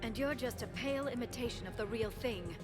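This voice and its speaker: A woman's voice: a little high, a little fast, lightly acted. An actress playing a defiant, indignant female doctor and squadmate in a video game. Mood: indignant defiance. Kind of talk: video game dramatic acting. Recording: long echoing reverb, music behind.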